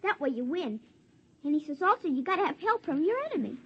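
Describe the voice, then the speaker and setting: An actress playing an earnest, excitable little girl in 1950s radio drama, insistent and tripping over her words. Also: sits high, quick pace. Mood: earnest, boastful. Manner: breathless, insistent, childlike stumbling over words. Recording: dull vintage 1950s radio recording.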